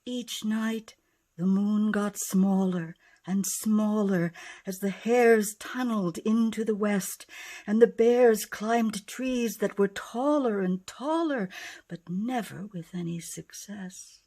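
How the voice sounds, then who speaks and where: A woman reading a rhyming picture book expressively, with dramatic delivery that dips into hushed tones. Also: mid-range voice, slow pace. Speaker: author and narrator.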